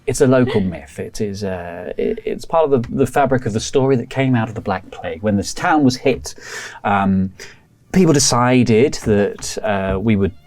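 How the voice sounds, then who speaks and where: Man playing a hesitant librarian, rambling and evasive, lively pitch. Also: normal speed, lightly acted. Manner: hesitant, rambling with many fillers and restarts. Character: fussy male village librarian, polite and evasive.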